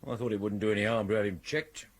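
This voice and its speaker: An actor playing a dutiful police sergeant in a radio drama, respectful and matter-of-fact. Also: male voice, fairly low pitch, steady tone, fast, natural voice.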